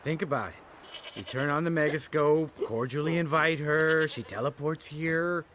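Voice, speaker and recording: An actor playing a brash, sarcastic, goading monster hunter in a video game. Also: male voice, on the high side, moderate pace, fairly bright tone, close to an everyday voice. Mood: drunken, rowdy. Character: brash, needling, drunken monster hunter, grown man.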